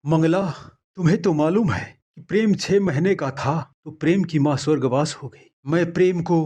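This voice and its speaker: Man as a high-pitched, patient farmer father. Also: moderate pace, dark tone, close to an everyday voice. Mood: resigned. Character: patient, firm older village farmer father. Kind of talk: acted moral-story dialogue.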